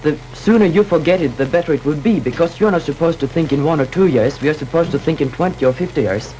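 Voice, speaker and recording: A man's voice: on the high side, fast talker, mellow, slight background noise, low-quality recording. Earnest teen boy debater. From Norway. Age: teens. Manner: earnest, with frequent fillers. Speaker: student debater. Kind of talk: panel debate.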